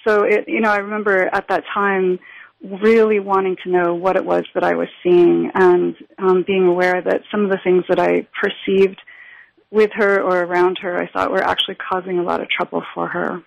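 Gravelly woman on a dull, dry recording. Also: fairly low pitch, little pitch movement, dry recording. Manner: thoughtful, halting, with many fillers and restarts. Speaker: interview guest, shamanism teacher. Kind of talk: reflective conversational storytelling.

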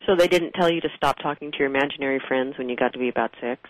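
An interviewer who asks open questions and responds with warm affirmations. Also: mid-range voice, very fast, no echo, low-quality recording. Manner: affirming and encouraging. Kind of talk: conversational interview.

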